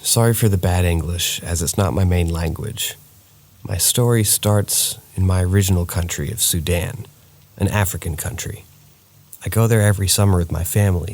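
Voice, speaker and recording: Man, very low voice, gravelly and husky, steady somber story narration. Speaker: narrator reading viewer stories. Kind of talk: scripted narration of true scary stories.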